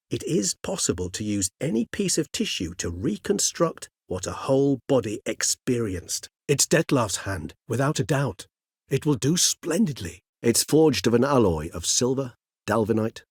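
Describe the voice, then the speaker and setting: An actor playing a courteous, erudite, world-weary centuries-old vampire in a video game, speaking in measured, eloquent, formal phrases. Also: male voice, mid-range voice, big pitch swings, a little mellow, breathy edge, no echo, clean studio sound. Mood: calm, earnest. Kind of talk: video game character dialogue.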